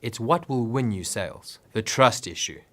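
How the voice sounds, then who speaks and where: A man's voice: medium pitch, expressive, moderate pace. A man reading scripted business advice narration in an instructive way with emphatic phrasing.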